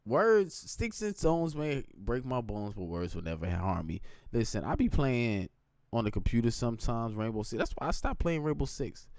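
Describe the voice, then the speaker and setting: A man with a deep, low voice talking informally in a casual, rambling livestream monologue, often checking in with his listeners. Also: expressive, normal speed, a little mellow, no echo, studio quality. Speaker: live streamer.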